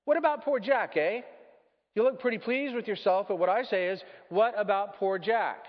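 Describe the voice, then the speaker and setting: A man's voice: high-pitched, normal speed, voice pushed up, close to an everyday voice. A man voicing a big, self-righteous, aggrieved ghost in a dramatic storybook reading, full of blustering questions. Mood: indignant. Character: big indignant male ghost, self-righteous working-class chap. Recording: muffled, echoey large hall.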